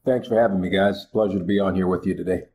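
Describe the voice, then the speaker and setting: A man's voice: sits low, steady tone, fast, darkish tone, slight background noise. A man in his forties, a college basketball head coach from Liberia, speaking earnestly in a podcast interview with many "you know" and "uh" fillers. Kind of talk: podcast interview, reflective storytelling.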